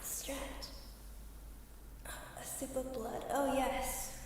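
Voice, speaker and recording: A woman livestreaming ASMR in casual chat, soft-spoken and often whispering, with pauses and added reverb on her voice. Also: high voice, flat delivery. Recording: very reverberant hall-like echo, distant, faint noise.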